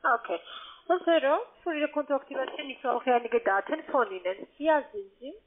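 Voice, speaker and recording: High-pitched foreign-accented woman on phone. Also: expressive, moderate pace, slight background noise. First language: not German. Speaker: call center account agent. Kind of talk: scripted phone sales call. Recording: tinny narrow phone line.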